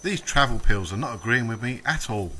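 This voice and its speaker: A man's voice: mid-range voice, lively intonation, brisk, bright, forceful tone. A man with an English accent playing a grumbling, fussy elderly salesman in a radio sitcom. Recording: amateur radio play, clear background noise.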